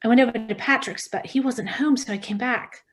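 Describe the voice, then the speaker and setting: Woman acting out frightened woman, horror drama, high-ish pitch, anxious, stammering, pleading. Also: brisk, close to an everyday voice, low-quality recording. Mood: nervous, relieved. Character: panicked woman fending off a monstrous attacker. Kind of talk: table read of a screenplay.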